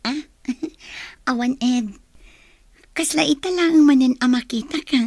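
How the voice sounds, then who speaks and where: A woman's voice: high voice, measured pace, a little mellow, no echo. A woman playing a smitten, trusting young female student in a radio drama, with a coy, girlish manner. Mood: coy, curious.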